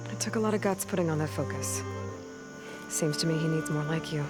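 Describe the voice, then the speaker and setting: An actress playing a level-headed, curious outlander heroine in a video game, speaking in a measured, dryly wry way. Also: female voice, sits low, over loud music, lightly acted. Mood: curious, supportive. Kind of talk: video game cutscene acting.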